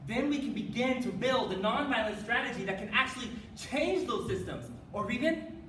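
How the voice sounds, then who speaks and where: A woman's voice: fairly high pitch, expressive, moderate pace, a bit bright. A young adult woman delivering a rehearsed dramatic readers theater speech as one of a student team. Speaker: readers theater performer on a college forensics team. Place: indoor room, live stage performance. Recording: echoey room from far off, noisy.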